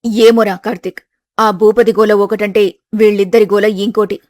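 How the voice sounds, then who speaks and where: A woman's voice: medium pitch, level tone, moderate pace, darkish tone, no echo, natural voice. A woman voicing a worried, weary village mother-in-law who frets and complains, in a family story. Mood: fretful. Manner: fretful, complaining.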